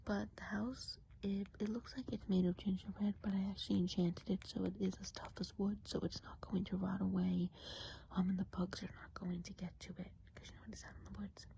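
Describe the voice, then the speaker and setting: A woman playing a kindly, whimsical woodland witch in an ASMR role play, whispering softly, breathily and gently. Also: medium pitch, flat delivery, gravelly, natural voice, poor audio. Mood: whimsical, soothing. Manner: slow, gentle, unhurried explaining. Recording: faint background noise.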